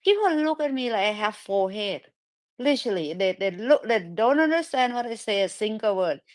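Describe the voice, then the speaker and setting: A woman with a thick Thai accent hosting a live audio chat, speaking broken English in an animated, excitable way. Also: medium pitch, brisk, no room sound. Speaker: host.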